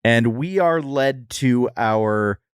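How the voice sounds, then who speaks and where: A man's voice: mid-range voice, expressive, slow, clear, resonant tone, dry recording, studio quality. A man co-hosting a podcast, giving a wry, descriptive review in casual, comedic conversation. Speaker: podcast co-host.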